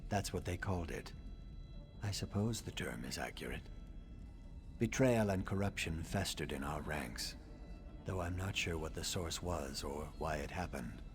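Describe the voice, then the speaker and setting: An actor playing a scholarly reptilian assassin in a video game, speaking in a measured, formal, dryly sardonic way. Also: male voice, low-pitched, animated, faint music behind. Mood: grave, wry. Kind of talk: video game dialogue acting.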